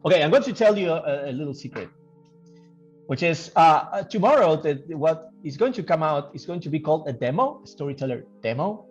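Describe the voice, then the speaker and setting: A man who works as a game developer gives casual interview answers, thinking aloud haltingly and repeating phrases. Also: on the high side, expressive, darkish tone. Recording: dull lo-fi audio, music behind. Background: background music.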